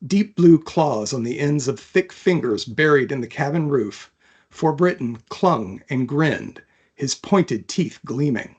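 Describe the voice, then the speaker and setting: A man with a medium-pitched voice speaks as a guest author. He has a thoughtful, unassuming delivery, suited to explaining or reading his own fantasy fiction. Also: animated, slow pace, a little mellow, slightly rough, muffled recording.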